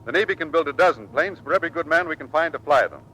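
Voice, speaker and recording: An actor playing a stern but fair Navy squadron commander, clipped and authoritative, in old radio drama. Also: male voice, fairly high pitch, lively intonation, very fast, bright, forceful tone. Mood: stern, scolding. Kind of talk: 1940s radio acting. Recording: faintly noisy vintage radio recording.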